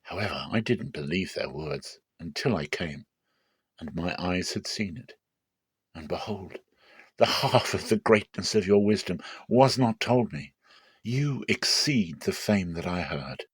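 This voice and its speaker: Man reading as a hushed, awed queen. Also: low-pitched, animated, a little slow, breathy edge, no room sound, full character voice. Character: visiting queen, woman humbly awed by a king. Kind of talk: dramatic scripture reading.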